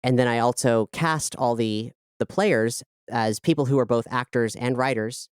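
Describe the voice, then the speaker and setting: Woman, low register, clean crisp tone, dry close sound, talking conversationally as a creative director being interviewed. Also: moderate pace, a little mellow, no room sound. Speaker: audio drama creator and director, interview guest.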